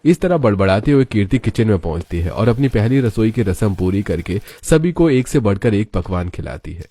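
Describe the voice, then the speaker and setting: A man's voice: on the low side, expressive, a little fast, mellow. A man narrating a scripted cartoon story. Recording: music behind the voice. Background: background music.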